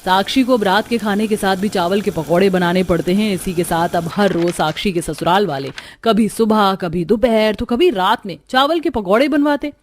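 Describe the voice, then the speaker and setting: A woman's voice: medium pitch, moderate pace, few pauses, a little hiss or hum. A woman narrating a scripted cartoon story for children.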